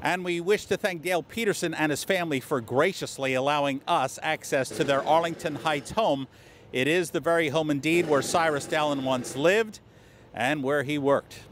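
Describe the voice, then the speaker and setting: A man's voice: on the high side, moderate pace, a bit bright. A man in his fifties presenting a scripted local news piece to camera outdoors, speaking into a handheld microphone. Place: outdoors on a lawn in a town. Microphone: handheld reporter microphone. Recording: faint outdoor street noise.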